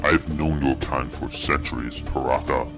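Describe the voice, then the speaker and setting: Give this ancient guardian an extremely deep voice. He is solemn, imposing and scornful, a stern warrior sentinel in a fantasy cartoon, with music clearly playing behind him. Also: fairly even intonation, moderate pace. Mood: stern contempt. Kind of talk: animated fan-dub acting.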